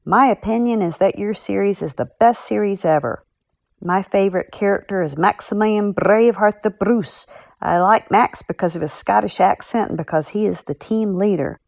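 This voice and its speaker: A woman's voice: medium pitch, moderate pace, gravelly, darkish tone, no room sound. A woman speaking as an author in a podcast segment, chatting and reading out letters.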